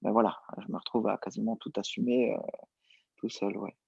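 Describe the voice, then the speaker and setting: A young man answering interview questions casually, relaxed and hesitant with many fillers. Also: lowish voice, fairly quick, vocal fry, breathy edge, no room sound. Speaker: entrepreneur guest. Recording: dull lo-fi sound.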